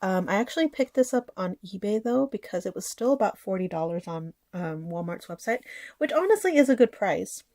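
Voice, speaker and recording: A woman's voice: medium pitch, lively intonation, brisk, darkish tone, no room sound. Nasal, chatty woman with a cold. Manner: chatty, with fillers and small laughs. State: sick, a bit nasal. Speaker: anime collector vlogger. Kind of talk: casual haul chat.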